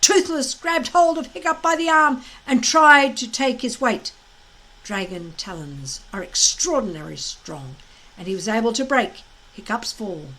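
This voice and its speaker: A woman reading a children's story aloud as expressive narration, with dramatic emphasis and deliberate phrasing. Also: mid-range voice, rough recording.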